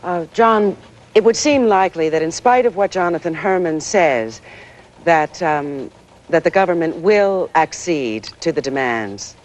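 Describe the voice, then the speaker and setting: A woman's voice: fairly low pitch, expressive, unhurried, darkish tone. An actress playing a grave, careful female news co-anchor on live crisis coverage in a 1980s TV drama. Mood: measured. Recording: faintly noisy 1980s TV broadcast.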